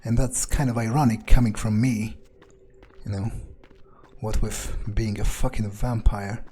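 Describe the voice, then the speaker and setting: A man playing a video game in character, musing aloud in an understated, deadpan and slightly hesitant way. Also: on the low side, unhurried, a little hiss or hum. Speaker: gamer playing a vampire in character.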